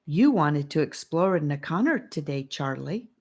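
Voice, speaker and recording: A woman's voice: low voice, big pitch swings, unhurried, darkish tone, heavily acted. Bossy little girl voice, woman reading, reminds ppl. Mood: scolding. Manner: protesting, reminding. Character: caring scolding young girl.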